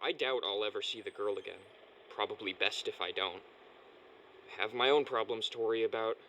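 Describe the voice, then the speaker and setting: A man's voice: lowish voice, fairly quick, bright, forceful tone, rough recording. A male actor playing a lost, anxious boy in a family audio drama, earnest and questioning. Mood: brooding. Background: prominent music.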